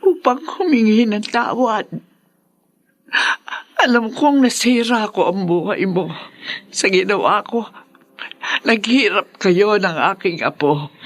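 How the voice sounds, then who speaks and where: Slow, remorseful older Filipino mother. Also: medium pitch, expressive, faint music behind. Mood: remorseful pleading. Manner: slow, pleading, earnest. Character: remorseful ailing older mother begging forgiveness. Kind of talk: Filipino radio drama acting.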